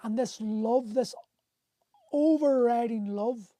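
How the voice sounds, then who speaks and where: Candid middle-aged man, mellow voice. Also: very high-pitched, dry recording, clean studio sound. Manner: candid, emotional, swears freely, halting restarts. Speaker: host. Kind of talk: livestream storytelling. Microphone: phone on a livestream.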